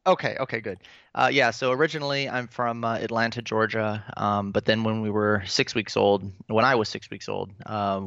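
Low-voiced American man, relaxed. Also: moderate pace, creaky, dry recording, muffled recording. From Florida, United States. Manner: relaxed, hesitant with many fillers. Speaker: guest, civil servant. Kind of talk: casual radio interview.

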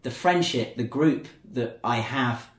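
Male podcast host, casual and rambling, mid-pitched voice, echoey studio. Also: takes it slowly. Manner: rambling, many ums and restarts. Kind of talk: casual chat. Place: indoor studio.

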